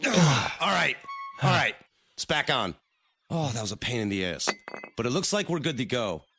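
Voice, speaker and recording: A man acting in an audio drama as a brisk, exasperated take-charge guy fixing a boat. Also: medium pitch, very animated, bright, forceful tone, soft background music. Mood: straining, exasperated.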